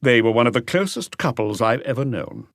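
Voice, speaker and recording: A man's voice: mid-range voice, lively intonation, fairly quick, no echo, clean studio sound, natural voice. A man voicing an earnest, talkative doctor who explains things with assurance in an audiobook mystery. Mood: frank, explanatory. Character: earnest male doctor, talkative and conscientious family friend.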